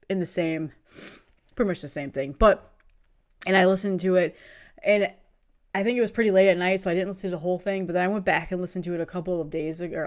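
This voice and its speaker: A woman in her thirties with a cold, chatting casually and enthusiastically as she reviews music, rambling a bit and laughing often. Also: lowish voice. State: has a cold, sniffly. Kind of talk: casual video review chat.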